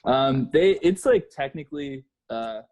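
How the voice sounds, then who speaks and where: A man's voice: mid-range voice, lively intonation, measured pace, a little mellow. A man co-hosting a comedy podcast, chatting casually and joking with his friends. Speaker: podcast co-host.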